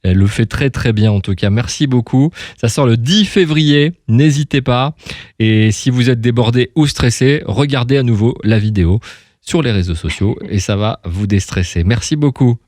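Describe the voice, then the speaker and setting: A man's voice: mid-range voice, normal speed, darkish tone, dry recording, studio quality. A man hosting a casual radio interview, rambling as he repeats and rephrases himself.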